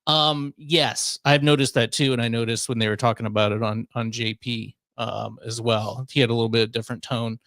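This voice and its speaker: A man's voice: medium pitch, steady tone, brisk, few pauses, fairly bright tone, dry recording, clean studio sound. A man hosting a radio show, talking in casual conversation. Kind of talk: casual chat, airing workplace grievances.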